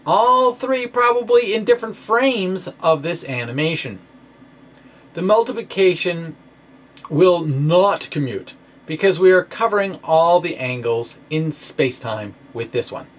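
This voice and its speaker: A man's voice: sits high, lively intonation, measured pace. A man giving an informal science explainer in a folksy, conversational way with theatrical emphasis. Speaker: science blogger presenting own work. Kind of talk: informal explanatory lecture, dramatic reading of blog post.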